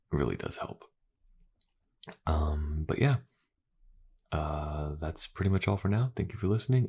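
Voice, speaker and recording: A man talking casually and informally to his listeners in a low-key creator's outro. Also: gravelly, darkish tone, slightly rough. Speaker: ASMR voice actor, channel creator.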